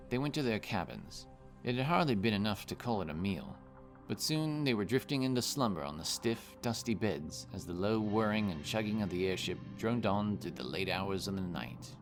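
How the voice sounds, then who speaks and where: Very deep-voiced male fantasy narrator. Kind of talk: scripted fantasy story narration. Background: music.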